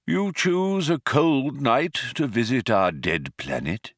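Man voicing a kindly, vague old man, expressive lilt. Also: mid-range voice, no room sound, studio quality, pitched above the performer's own voice, exaggerated voice. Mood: grave, calm. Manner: vague, unhurried, wistful, gently formal. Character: kindly, absent-minded old alien man, solemn and polite. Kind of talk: audiobook character voice.

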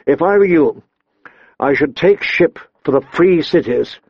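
A man voicing a stern, honorable lord in an audiobook, speaking in a grave and measured way. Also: fairly high pitch, expressive, breathy edge, no echo, lo-fi sound, exaggerated voice. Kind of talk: audiobook character voice.

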